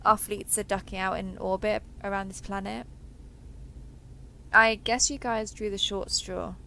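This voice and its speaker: Woman voicing a bright, creaky friendly robot soldier. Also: mid-range voice, little pitch movement, a little slow, a little hiss or hum, poor audio. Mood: earnest, chatty. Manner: chatty, jokey, reassuring. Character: friendly giant copper transforming robot soldier, upbeat, protective. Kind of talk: scripted audio roleplay addressed to the listener.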